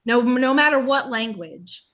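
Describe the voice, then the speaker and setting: A woman from the American South with a Southern accent, a guest telling lively stories in a casual podcast chat. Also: on the high side, unhurried. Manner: lively storyteller, acts out quotes, laughs often. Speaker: guest, former English teacher in Korea.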